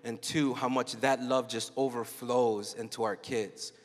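A man in his thirties giving an animated, story-filled keynote talk to a youth conference crowd on a handheld mic. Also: mid-range voice, unhurried. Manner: animated, storytelling, acts out quotes. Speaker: keynote speaker. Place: large dark arena stage before a young crowd. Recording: long hall reverb.

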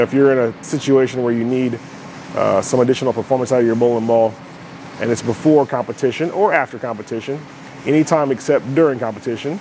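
A man's voice: medium pitch, darkish tone, slight background noise. A man giving casual, explanatory commentary on a bowling ball test in a bowling alley, often checking in with "right?". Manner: explanatory, many fillers and "right?" asides. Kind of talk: casual product demo commentary.

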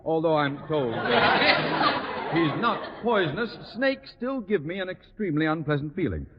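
An echoey, crackling 1940s radio comedy recording with a studio audience. a blustering middle-aged school principal, flustered and pompous, whose pitch swings wildly as he splutters theatrically. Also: big pitch swings, unhurried, muffled recording, a crowd in the background. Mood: agitated. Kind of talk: 1940s radio sitcom acting.

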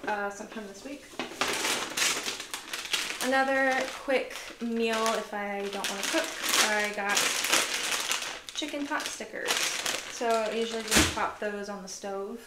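A woman's voice: mid-range voice, unhurried, pauses often, very noisy. Chatty woman in an echoey room. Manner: relaxed, chatty, lots of fillers. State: tired. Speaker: vlogger. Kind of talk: casual grocery haul chat.

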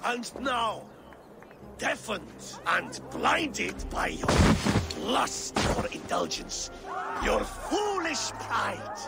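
An actor playing a pompous, scheming middle-aged Norse lord in a video game, with theatrical, grandiose delivery. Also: male voice, very high voice, vocal fry, heavily acted. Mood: menacing triumph. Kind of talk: video game cutscene acting.

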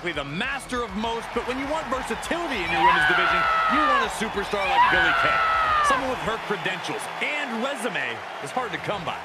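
A man playing an excitable, critical wrestling commentator in a video game. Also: extremely high pitch, a little fast, loud music behind the voice, full character voice. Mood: hyping. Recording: loud arena crowd and noise.

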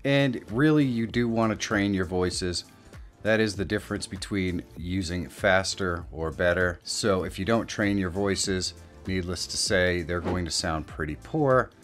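Low-pitched man narrating a software tutorial. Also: unhurried. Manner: explanatory, step-by-step. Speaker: tutorial host. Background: background music.

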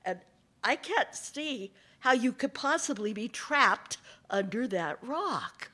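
A cunning fox, smug and sure of himself, as a woman storyteller puts him on. He plays the fool, loud and rising, before turning cool. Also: a little high, lively intonation, slow, heavily acted. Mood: feigned puzzlement. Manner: feigns confusion, then coolly scolds. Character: smug sly male fox, calm and cunning.

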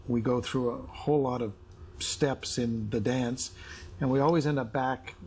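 A man's voice: medium pitch, fairly even intonation, normal speed, darkish tone, breathy edge. A middle-aged man talking casually as an interview guest, explaining things in conversation. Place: television-style studio set, indoors.

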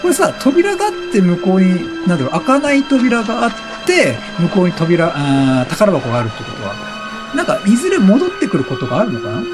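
A man with a high-pitched voice gives a running game commentary. He is chatty and reactive, swinging widely in pitch and firing off repeated exclamations. Game music plays behind him. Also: wildly swooping pitch, a little fast. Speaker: gaming streamer. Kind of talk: excitable let's-play commentary, reading game text aloud. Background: video game music.

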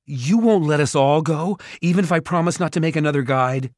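A man's voice: fairly low pitch, animated, moderate pace, dry recording, studio quality. A man voicing an anxious but defiant young boy in a storybook, earnest and strained. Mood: tense, pleading.

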